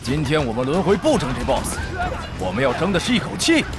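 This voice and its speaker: An actor playing a hot-headed, defiant guild leader in a Chinese audio drama, confrontational and declamatory. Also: male voice, a little high, sing-song, fairly quick, loud music behind the voice. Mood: rallying, aggressive. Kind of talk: Chinese audio drama acting of an online game story.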